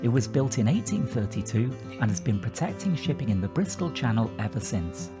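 A man's voice: medium pitch, big pitch swings, a little fast, over music. A man in his forties presenting an explanatory vlog in a conversational, methodical way. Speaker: video presenter. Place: outdoors and inside a moving car. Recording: clear ambient outdoor and car noise.

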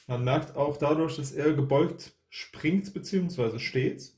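A man's voice: on the high side, unhurried, a little mellow. A man hosting an unboxing, giving casual product review commentary.

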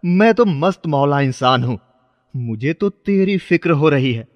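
A man's voice: on the high side, lively intonation, a little slow, dry recording, long reverb tail, muffled recording. A man voicing a carefree, kindly old mystical beggar with a fatherly manner in a children's moral story. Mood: kindly concern. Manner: benevolent, fatherly.

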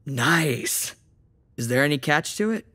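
A man's voice: on the high side, expressive, a little slow, bright, forceful tone, breathy edge, heavily acted. A man playing a wry, questioning new vampire in an audio drama, with a dry manner. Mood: skeptical.

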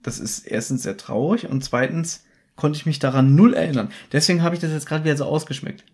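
A man's voice: on the high side, fast. A man co-hosting a podcast, retelling a story in great detail in casual chat. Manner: detailed, animated storyteller who acts out quotes. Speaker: podcast co-host.

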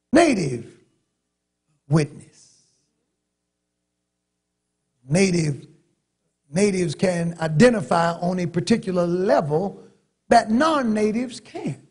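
A middle-aged man from North Carolina with a Southern accent, preaching a sermon from a church pulpit with long pauses and rising emphasis. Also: sits high, a little mellow, husky. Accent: Southern American. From North Carolina, USA. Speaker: pastor. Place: large church sanctuary, at a pulpit. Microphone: pulpit microphone.

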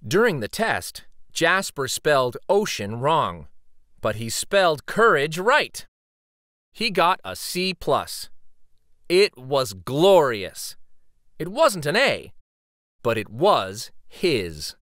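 Slow, animated male storyteller. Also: a little high, dry recording, studio quality. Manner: theatrical suspenseful pauses and emphasis. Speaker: picture book read-aloud host. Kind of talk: dramatic children's story narration.

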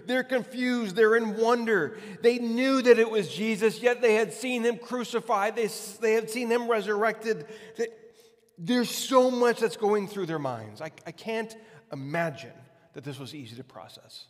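A man in his forties preaching a conversational sermon as a pastor, with emphatic repetition and deliberate pauses. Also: sits high. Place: large hard-walled church hall, on stage. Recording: long hall reverb.

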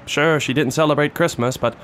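An actor playing a self-assured, hard-boiled detective in a noir parody radio play, terse and deadpan. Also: male voice, on the high side, a little fast, a little hiss or hum, natural voice. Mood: businesslike. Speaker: lead actor and narrator. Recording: music bed under the voice.

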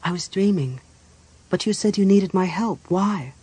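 A man playing an earnest, gentle noblewoman cleric in a fantasy audiobook, formal and sincere. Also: sits high, unhurried, breathy edge, voice pushed up. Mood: earnest, concerned.

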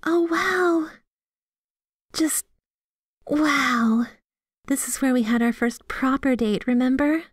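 A woman's voice: high voice, slow pace, pauses often, husky, no room sound, heavily acted. Woman acting a sweet, obsessive yandere girlfriend, close and dry. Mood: tender, dreamy. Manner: affectionate, giggly, playfully possessive. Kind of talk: ASMR roleplay, scripted one-sided conversation.

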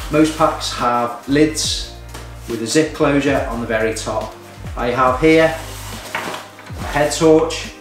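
A man speaks to camera in an informal, advisory way, like someone instructing beginners. He is recorded indoors at some distance from the microphone, so the room echoes around his voice. Faint music sits underneath. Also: mid-range voice. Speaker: hiking vlogger giving beginner tips. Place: indoors, small home room at a table. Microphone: camera a few metres away.

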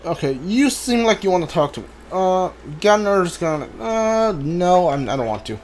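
A man giving casual, halting let's play commentary on a video game, musing to himself as he goes. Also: high-pitched, very animated. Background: video game music.

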